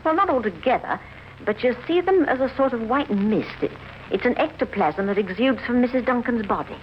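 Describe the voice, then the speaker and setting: An actress playing an eager, chatty British shopkeeper who promotes seances, gushing in 1950s radio drama style. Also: female voice, a little high, animated, a little fast, natural voice. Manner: gushing, effusive. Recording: muffled, noisy old radio recording.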